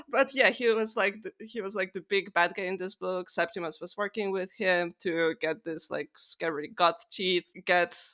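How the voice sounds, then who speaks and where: A woman's voice: lowish voice, unhurried, fairly bright tone. A woman co-hosting a podcast, chatting casually about books in an informal, sweary way full of "like". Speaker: podcast co-host. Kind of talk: casual book discussion chat.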